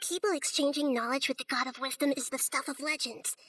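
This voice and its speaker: Casting: shrewd little goddess of wisdom, high bright voice, calm, articulate, firm game delivery. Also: female voice, quick pace, dry recording. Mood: guarded, curious. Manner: poised, articulate, quietly firm. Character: small, childlike female god of wisdom, calm and shrewd. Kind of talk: video game cutscene acting. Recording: studio voice over game music.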